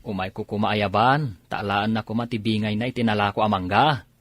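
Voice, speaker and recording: A man's voice: lowish voice, fairly even intonation, fairly quick, fairly bright tone, no echo. A man with a Filipino accent playing a respectful, earnest young village laborer in a radio drama.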